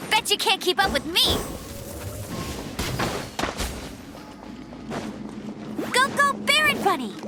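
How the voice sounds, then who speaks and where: A woman's voice: very high voice, big pitch swings, a little fast, over loud music. A woman voicing a plucky, earnest teenage girl knight in an anime game, with a spirited, emphatic delivery. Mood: eager.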